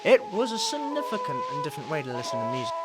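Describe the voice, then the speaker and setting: Enthusiastic young man with high, swooping voice. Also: huge pitch swings, racing. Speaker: student presenter. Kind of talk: presenting a news-style history video. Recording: music behind the voice.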